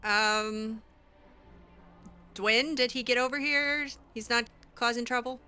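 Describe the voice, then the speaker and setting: A woman's voice: fairly high pitch, steady tone, slow, sharp tone, clean tone. A woman playing through a role-play video game in character, musing aloud to herself in a hesitant way. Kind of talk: in-character game playthrough commentary.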